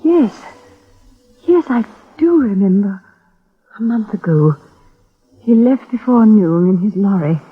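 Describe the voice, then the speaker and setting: An actress playing an ailing, bitter, proud planter's wife in formal, measured 1950s radio drama style. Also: female voice, medium pitch, expressive, breathy edge. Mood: weary, resentful. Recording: muffled tinny old radio broadcast.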